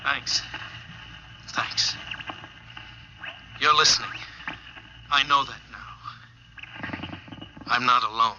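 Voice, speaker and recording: An actor playing a lonely, wistful astronaut stranded in orbit, musing haltingly in a 1950s radio drama. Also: male voice, medium pitch, dull audio, natural voice. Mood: moved, relieved. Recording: noisy old 1950s radio recording.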